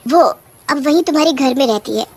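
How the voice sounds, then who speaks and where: High-voiced fussy witch, voiced by a woman. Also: fairly quick, a little mellow. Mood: reflective. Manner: scolding, complaining. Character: female village and city witches, indignant, fussy ghosts.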